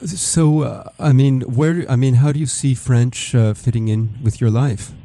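A man's voice: mid-range voice, measured pace, gravelly, dark tone, slight background noise. A man hosting a community radio show, asking his guest questions in a hesitant, stumbling way. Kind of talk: radio interview.

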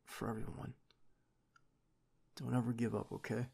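A young man playing a devoted secret agent boyfriend in an audio roleplay, with a husky, gravelly voice and an earnest manner. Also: mid-range voice, little pitch movement, moderate pace, mellow. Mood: gentle, comforting. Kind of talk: ASMR boyfriend roleplay acting.

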